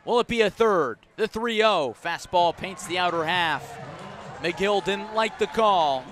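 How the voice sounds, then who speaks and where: High-pitched American baseball play-by-play man. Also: animated, a little slow, fairly bright tone, a little hiss or hum. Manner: dramatic narration in short clipped phrases. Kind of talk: live radio sports commentary.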